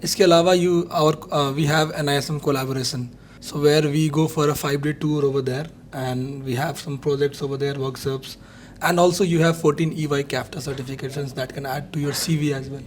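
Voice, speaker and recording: A man's voice: a little high, steady tone, a little hiss or hum. A young man from Nepal in his twenties, answering as a student guest and slipping Hindi phrases into his English. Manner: quick, mixes in Hindi phrases.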